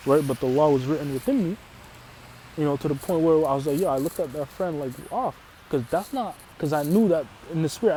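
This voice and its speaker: Casual man teaching scripture, mellow voice. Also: fairly high pitch, a little hiss or hum, low-quality recording. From Canada. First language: Arabic. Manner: casual, repeats "right?" often, restarts phrases. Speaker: religious teacher.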